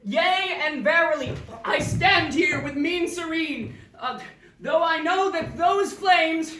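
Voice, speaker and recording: High-voiced stammering condemned man. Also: sharp tone, full character voice. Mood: frantic bluster. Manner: stammering, halting, mock-archaic bluster. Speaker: stage actor. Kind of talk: theatrical comic monologue. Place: indoor theatre stage, recorded from afar. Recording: roomy distant stage recording, some noise.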